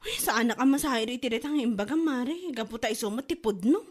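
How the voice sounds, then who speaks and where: A woman's voice: fairly high pitch, fast talker, close to an everyday voice. Woman playing a nagging Ilocano wife. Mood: scolding. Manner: nagging, scolding. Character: scolding exasperated wife. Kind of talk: comedy drama acting.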